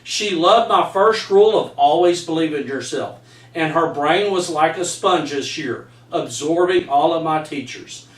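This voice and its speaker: A man's voice: a little high, a little slow. A middle-aged man, a teacher earnestly reading his own story aloud and stumbling over some words. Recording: echoey room, distant microphone.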